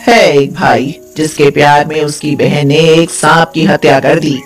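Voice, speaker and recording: A lowered, grave old woman's voice put on by a female storyteller speaks over music. She is a solemn, cryptic goddess of destiny in a storybook folk tale. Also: fairly even intonation, unhurried. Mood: solemn, foreboding.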